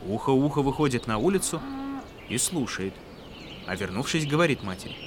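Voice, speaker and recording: A man's voice: medium pitch, lively intonation, moderate pace, background noise. A man narrating a folk tale as a storyteller, with music behind his voice.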